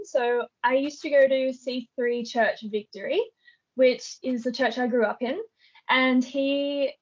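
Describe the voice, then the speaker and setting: A young Australian woman answering interview questions in a relaxed, thoughtful way, with frequent ums. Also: high voice, dull audio. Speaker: guest artist.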